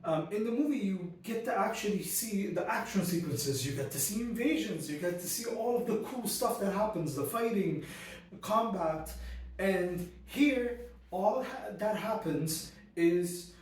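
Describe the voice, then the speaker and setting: A man's voice: high-pitched, expressive, measured pace, distant mic. Male booktuber, chatty and ranty, in a very echoey room a step back from the mic. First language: not Arabic; Arabic learned as second language. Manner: ranty, many fillers and restarts. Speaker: book reviewer. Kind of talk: casual spoken book review.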